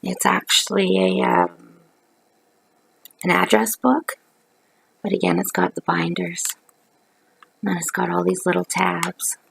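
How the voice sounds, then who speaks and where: A middle-aged woman from Canada, hoarse from a cold, chatting casually through a craft haul video on her couch, with lots of ums. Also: lowish voice, expressive, a little slow, long pauses, fairly bright tone, low-quality recording. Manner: rambling, chatty, many ums. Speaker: craft vlogger. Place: living room at night, on a couch. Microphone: webcam at close range.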